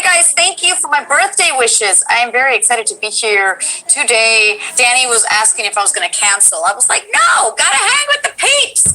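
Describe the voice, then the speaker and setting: Excitable woman stream host, lively pitch that jumps around, bright tone. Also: low-quality recording. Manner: bubbly, excitable, gushing. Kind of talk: casual stream chat.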